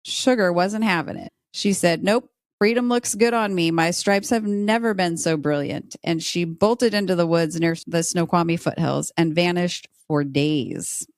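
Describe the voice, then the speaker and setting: An American woman with a slightly low voice in a dry, close recording. She tells stories playfully, with some dramatic flourish, in the manner of a podcast guest. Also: unhurried, hardly any pauses, no echo. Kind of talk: lively storytelling and casual chat.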